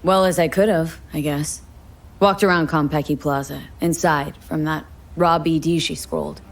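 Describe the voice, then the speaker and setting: An actress playing a wry, tough, guarded young mercenary in a video game, with a dry, understated manner. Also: female voice, low voice, steady tone, normal speed. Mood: cool, dry. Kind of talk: dramatic video game acting.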